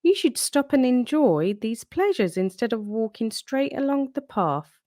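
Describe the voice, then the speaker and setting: A woman's voice: on the high side, big pitch swings, measured pace, warm tone, no room sound, studio quality, pitched above the performer's own voice. A woman voicing a cunning, wicked male wolf from a storybook, politely coaxing and sly. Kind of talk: storybook read-aloud character.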